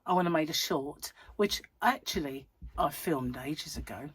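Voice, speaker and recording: A woman hosting a crochet channel, chatting in a rambling, self-mocking way at home while her voice is croaky from a cold. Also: low voice, animated, normal speed, no echo, poor audio. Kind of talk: casual chatty vlog. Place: at home, seated in a chair.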